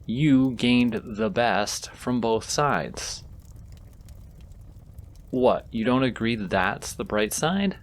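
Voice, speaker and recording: A man playing a gentle, easily flustered wizard in a comforting fantasy audio roleplay, tender, soft-spoken and intimate. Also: medium pitch, animated, drawn-out words, pauses often. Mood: gently reassuring. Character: gentle, flustered male wizard comforting a companion he secretly adores.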